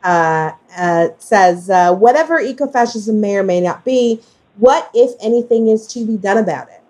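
A woman's voice: medium pitch, expressive, moderate pace, a little mellow. A woman hosting a casual conversational discussion, speaking emphatically and repeating phrases for stress. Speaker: podcast host.

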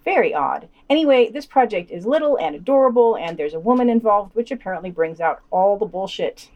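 A woman's voice: mid-range voice, expressive, brisk, darkish tone, muffled recording. A woman hosting a podcast, reading scripted news items and quotations with dry, sardonic commentary.

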